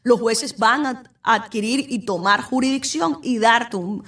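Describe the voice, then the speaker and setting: A woman's voice: fairly high pitch, a little slow, fairly bright tone. A young adult woman lawyer with a Venezuelan accent, hosting a podcast and explaining legal matters directly to the listener. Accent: Venezuelan Spanish. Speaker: immigration lawyer, podcast host. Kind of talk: informative solo talk. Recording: voice somewhat far from the mic.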